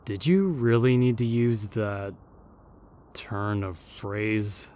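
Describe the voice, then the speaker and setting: A man's voice: lowish voice, slow pace, darkish tone. A man playing a sardonic long-dead ghost in an audio roleplay, speaking formally with dry condescension. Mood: sardonic, condescending. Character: haughty, sardonic long-dead male ghost, named James.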